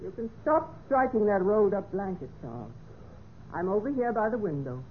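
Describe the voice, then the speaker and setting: An actress playing a betrayed, wistful but resolute wife in a measured, theatrical golden-age radio mystery style. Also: female voice, mid-range voice, very animated, close to an everyday voice. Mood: eerily calm. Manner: measured, deliberate, theatrical delivery. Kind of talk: 1940s-50s radio mystery acting. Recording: tinny, noisy old radio recording.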